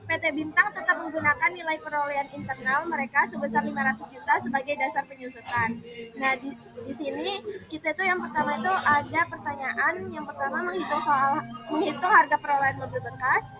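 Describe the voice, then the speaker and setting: A woman's voice: high voice, brisk, over loud music. A young woman, a student, presenting a worked accounting problem in class, talking hesitantly with frequent fillers. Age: young adult.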